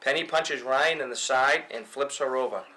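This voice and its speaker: A man's voice: medium pitch, moderate pace, bright, forceful tone. A man reading stage directions aloud as narration at a film table read.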